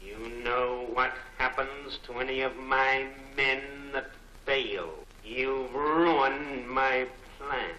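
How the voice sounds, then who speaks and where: An actor playing a menacing, imperious male crime boss in a 1940s film serial, speaking deliberately to his henchmen. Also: mid-range voice, fairly even intonation, sharp tone. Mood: cold, threatening. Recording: echoey, faintly noisy 1940s film soundtrack.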